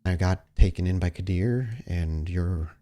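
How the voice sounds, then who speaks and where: Man playing a wary young vampire woman, low-voiced. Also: a little slow. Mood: subdued. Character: guarded young female vampire, punkish, wary but soft.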